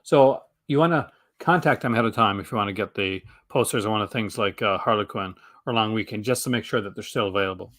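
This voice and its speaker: Hoarse, rambling male stream host. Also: lowish voice, fast talker, few pauses, fairly bright tone. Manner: rambling, enthusiastic, many fillers and restarts. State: losing his voice. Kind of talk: casual live stream chat.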